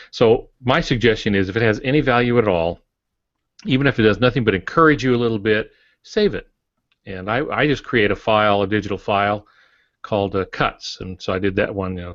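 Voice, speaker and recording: A man with a low voice shares stories as an author on a writing podcast. He speaks in a relaxed way, pausing often with filler sounds. The audio is dry but dull and a bit lo-fi. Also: no echo. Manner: relaxed storytelling, many "uh" pauses. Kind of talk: casual writing podcast chat.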